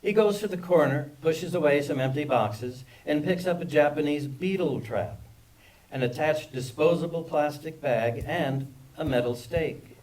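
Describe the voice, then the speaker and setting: A man's voice: a little high, a little slow, a little mellow. A man reading scripted stage directions as narrator of a screenplay table read, recorded from a distance. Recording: distant microphone in live reading.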